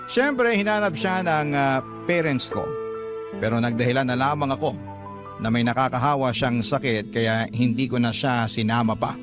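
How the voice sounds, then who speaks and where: A man's voice: medium pitch, normal speed, close to an everyday voice. An actor playing a troubled boyfriend who recounts his relationship as scripted first-person radio drama narration. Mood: reflective, troubled. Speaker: narrator of a letter sender's story. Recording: music bed behind narration.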